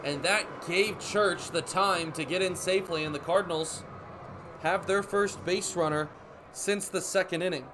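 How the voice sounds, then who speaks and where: A man in his twenties doing radio baseball play-by-play as the announcer, with a descriptive delivery. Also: sits high. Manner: descriptive, measured delivery that rises on action. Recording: clear background ambience noise.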